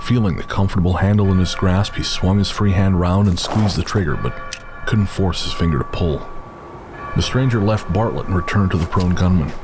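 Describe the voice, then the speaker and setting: Very deep male dramatic narrator. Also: fairly quick, music in the background. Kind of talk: dramatic scripted western horror narration.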